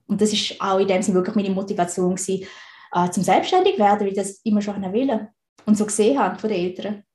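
A woman's voice: medium pitch, lively intonation, quick pace, a little mellow. A woman in her thirties from Switzerland with a Swiss German accent, chatting as an interview guest and often laughing. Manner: chatty, laughs easily, frequent restarts. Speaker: guest, recruiting consultant. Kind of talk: casual interview talk. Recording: roomy echo.

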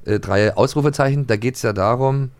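A man's voice: medium pitch, moderate pace, a little hiss or hum. A man co-hosting a podcast in casual, playful banter, often chiming in with short interjections. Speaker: podcast host.

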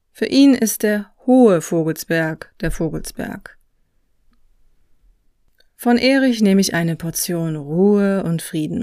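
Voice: medium pitch, a little mellow, no echo. A podcast interviewer and storyteller with a mid-range voice. The delivery is conversational and reflective, and the sound is very dry, with almost no room in it. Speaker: interviewer and narrator of a walking podcast.

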